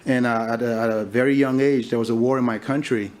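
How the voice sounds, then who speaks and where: A man from Liberia in his thirties, a farmer giving a conference talk, speaking haltingly with many fillers and restarts. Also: medium pitch, fairly even intonation, darkish tone. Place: conference hall stage.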